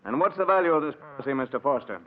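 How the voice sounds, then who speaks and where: An actor playing a stern, sceptical prosecutor questioning formally in 1940s radio drama style. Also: male voice, medium pitch, animated, lightly acted. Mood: accusing, sceptical. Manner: formal, accusing, deliberate. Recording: tinny, narrow old radio recording.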